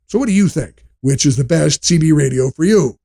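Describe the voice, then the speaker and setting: Male reviewer, scripted narration, slightly raised pitch, creaky fry, measured explanatory delivery. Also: lively intonation, mellow. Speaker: product reviewer.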